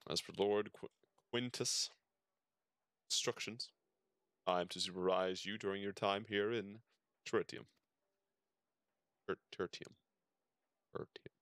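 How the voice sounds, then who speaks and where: Low-voiced man streaming a game. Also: steady tone, slow pace, long pauses, a bit bright, no echo, clean studio sound. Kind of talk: reading game dialogue aloud with casual reactions.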